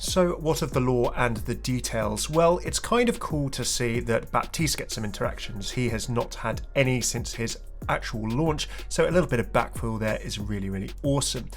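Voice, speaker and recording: A man's voice: mid-range voice, animated, moderate pace, hardly any pauses. A man doing enthusiastic, wordy video commentary on game lore as a channel host. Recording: music behind the voice.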